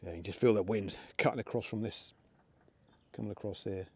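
Relaxed middle-aged man, slightly low voice, casual outdoor vlog talk. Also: brisk, slightly rough, no room sound. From England. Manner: chatty, relaxed, talks to his dogs. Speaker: air rifle shooting vlogger. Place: outdoors in a windy field by a pond. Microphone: camera on a tripod, then handheld.

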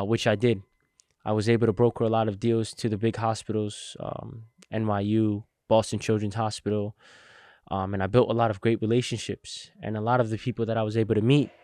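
Young man calmly telling his story. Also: lowish voice, dry recording, studio quality. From New Jersey, United States. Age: 20s. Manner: earnest, steady storytelling with fillers. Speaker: guest, logistics entrepreneur. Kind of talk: interview storytelling. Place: warehouse studio set. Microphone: boom-mounted podcast mic.

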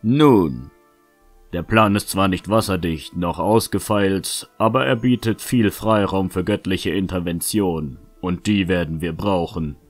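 A shrewd, calm fantasy adventurer, played by a man with a deep voice. He reasons in measured, well-chosen phrases like a strategist, over faint music. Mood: cautious planning. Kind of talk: fan-made fantasy audio drama acting.

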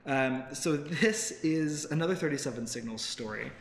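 A man's voice: fairly high pitch, moderate pace. An American man in his twenties co-hosting a casual tech news chat, explaining things in an informal way. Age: 20s. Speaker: co-host reading tech news stories. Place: busy open-plan office, seated on a couch. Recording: roomy open office, faint noise.

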